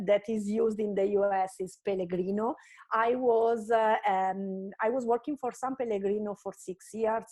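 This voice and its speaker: A woman from Italy with an Italian accent, giving a presentation over a video call from her apartment, pausing often with filler sounds. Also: medium pitch, a little mellow. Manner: hesitant, many filler sounds.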